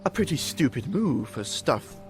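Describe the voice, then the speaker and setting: Male actor as shrewd loyal sergeant, wry, conversational, expressive wide intonation, fantasy game, music underneath. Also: mid-range voice, normal speed, natural voice. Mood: wry, reasoning. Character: canny castle sergeant, pragmatic and loyal. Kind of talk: video game cutscene acting.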